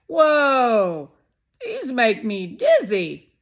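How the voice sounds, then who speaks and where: A woman voicing a loud, pushy, energetic young boy in a children's storybook, blurting things out eagerly. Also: on the high side, drawn-out words, pitched above the performer's own voice, heavily acted. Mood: excited. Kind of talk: children's storybook read-aloud.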